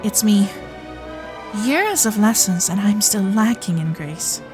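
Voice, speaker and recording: A woman voicing a frank, flustered young Gilded Age society lady in a romantic ASMR audio drama, speaking earnestly in formal period phrasing with breathy asides. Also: medium pitch, expressive, slow pace, over music. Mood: flustered, playful.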